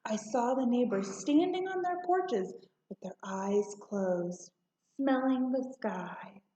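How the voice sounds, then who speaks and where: Slow, high-voiced woman reading a storybook. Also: lively intonation, dark tone. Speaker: storybook reader. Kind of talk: children's read-aloud narration. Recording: roomy, slightly muffled home recording.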